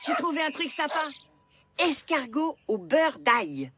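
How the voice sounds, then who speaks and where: A woman voicing a skeptical young boy in a dubbed cartoon, speaking in a dry, deadpan way. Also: high voice, a little slow, over music. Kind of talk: cartoon dubbing.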